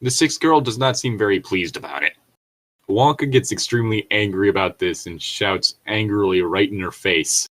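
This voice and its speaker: Deep-voiced man, irreverent and sweary. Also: normal speed, a bit bright. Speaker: co-host reading and commenting on stories. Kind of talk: read-along with casual joking asides.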